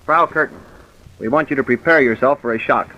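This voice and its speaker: A man's voice: medium pitch, natural voice. Man acting stern, authoritative police commissioner. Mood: grave, firm. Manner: authoritative, deliberate. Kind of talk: old-time radio drama acting. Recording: dull, hissy vintage radio recording.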